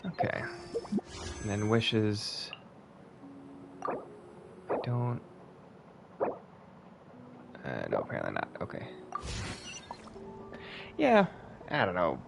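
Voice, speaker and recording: A man's voice: fairly low pitch, wildly swooping pitch, extremely slow, creaky, long pauses. A man streaming a video game, thinking aloud and swearing now and then as he plays. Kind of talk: casual gameplay commentary. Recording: game music playing behind. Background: game music.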